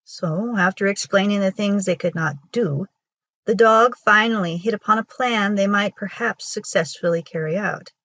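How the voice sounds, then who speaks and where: A woman with a husky voice reading a children's folktale aloud as a storyteller. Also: medium pitch, normal speed, a bit bright, dry recording. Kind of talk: scripted storybook narration for children.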